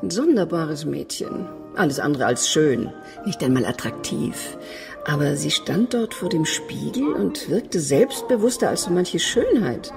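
A woman's voice: sits low, sing-song, a little slow, vocal fry, over music, heavily acted. A woman playing a worldly, wry, self-assured model agency boss in a German radio play, chatty and ironic. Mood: amused recounting.

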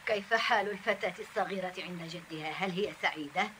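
A woman dubbing a doubtful, questioning village woman in a cartoon, with a husky voice. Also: fairly low pitch, fast, fairly bright tone, a little hiss or hum, close to an everyday voice. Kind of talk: Arabic cartoon dub.

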